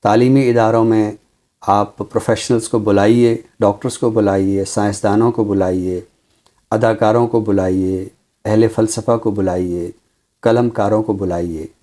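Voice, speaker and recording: A Pakistani man speaking formally and deliberately as a literary commentator, with emphatic, repeated phrasing. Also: on the low side, mellow. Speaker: literary commentator on a talk show. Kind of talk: measured, formal literary discussion and poetry recital.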